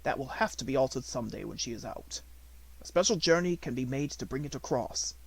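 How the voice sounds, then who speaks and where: A man's voice: medium pitch, lively intonation, brisk, gravelly, slightly rough, rough recording. A man voicing a young, inquisitive male secretary in a storybook, with a clipped, businesslike manner. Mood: businesslike disapproval.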